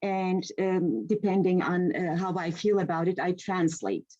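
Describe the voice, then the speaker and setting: A woman in her sixties with a Turkish accent, a poet who speaks hesitantly with many fillers before reading in a measured way over a video call. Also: fairly low pitch, warm tone, lo-fi sound. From Turkey. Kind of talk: introducing herself and reading a poem.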